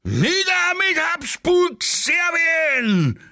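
A frenzied male demonstrator from a satirical radio play. He bellows slogans in long drawn-out chants, his forceful voice pushed very high. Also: wildly swooping pitch, creaky, full character voice.

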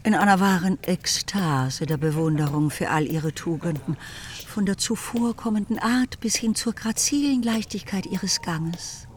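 A woman's voice: on the low side, unhurried, a little hiss or hum. A woman delivering scripted literary narration as the narrator of a German radio drama.

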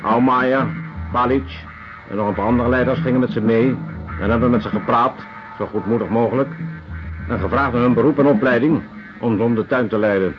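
A cold, detached officer, played by a man delivers an orderly radio drama monologue. The voice is mid-pitched and matter-of-fact, slightly muffled, with music behind. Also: a little fast, natural voice. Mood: detached, clinical. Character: cold, methodical male camp officer reporting atrocities. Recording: dull lo-fi radio drama recording.

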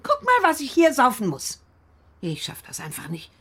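A frail old bedridden mother in a radio play. Her voice is husky and worn, and it swings widely in pitch. She complains stubbornly, in a naturalistic manner. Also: wildly swooping pitch, moderate pace, fairly bright tone, full character voice. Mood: querulous. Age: older. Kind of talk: naturalistic German radio drama acting.

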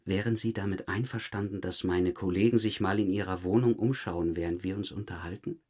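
An actor playing a methodical, calm police detective in an audio crime drama, measured, understated and politely insistent. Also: male voice, sits low. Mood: calm, probing. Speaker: police investigator.

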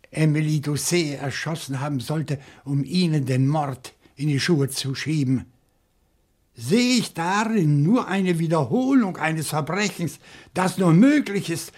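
Husky, deliberate professor sleuth. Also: male voice, a little high. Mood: grim, resolute. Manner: deliberate, theatrical, reasoning step by step. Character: shrewd, indignant male professor turned amateur sleuth. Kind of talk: German radio crime play acting.

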